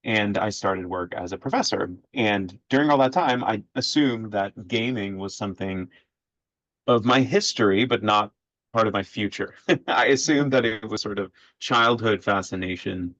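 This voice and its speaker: A man in his forties from Sweden, a history professor speaking as a podcast guest in a measured way with many filler sounds. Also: on the low side, a bit bright, no room sound. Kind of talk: podcast interview. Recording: dull lo-fi audio, dry.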